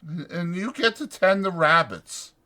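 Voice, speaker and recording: A man voicing a weary, protective ranch hand who talks in a halting, soothing, anguished way in a dramatized audiobook. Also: high-pitched, animated, sharp tone, voice pushed up, full character voice. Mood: gentle, strained.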